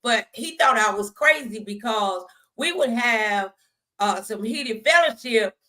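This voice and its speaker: A woman's voice: a little high, a little slow. A middle-aged woman chats candidly and animatedly as the host of a faith-based talk show. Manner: animated, candid, acts out quoted remarks.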